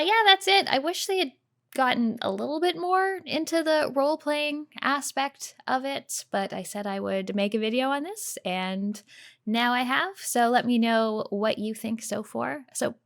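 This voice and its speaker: A woman's voice: medium pitch, vocal fry, a bit bright. A woman giving casual reaction commentary as a video creator, speaking in a candid, informal, unimpressed way.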